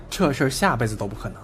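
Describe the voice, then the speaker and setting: A proud, blunt young man voiced by a male actor in an audio drama. He has a mid-range voice with animated intonation and a curt way of talking, over a light music bed. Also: mid-range voice. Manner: curt, scornful retorts. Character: defiant, blunt, proud young man standing his ground. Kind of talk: Chinese audio drama acting. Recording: music behind the voice.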